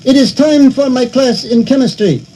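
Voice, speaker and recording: A man's voice: very high-pitched, moderate pace, heavy background noise. A man voicing a pompous elderly male philosopher in a cartoon, speaking in stilted, clipped broken English.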